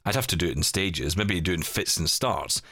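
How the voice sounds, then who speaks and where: A man hosting a podcast in easy, rambling chat. His voice sits low but roams widely in pitch as he tells stories. The sound is close and dry, with no room around it. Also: animated, pushed and bright, no room sound. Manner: chatty storyteller, quick asides. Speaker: podcast host. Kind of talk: casual chat.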